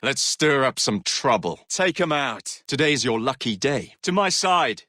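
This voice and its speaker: An actor playing a cocky young Victorian London rogue in a video game, cheeky and teasing with an English accent. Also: male voice, medium pitch, sing-song, measured pace, pushed and bright, no echo, clean studio sound, heavily acted. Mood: brisk, commanding.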